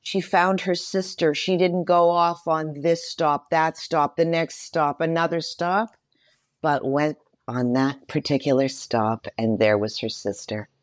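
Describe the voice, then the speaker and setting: Low-voiced woman telling personal stories. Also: a little slow, dry recording, clean studio sound. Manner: expressive, emphatic repetition. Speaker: interview guest. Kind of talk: personal storytelling in conversation.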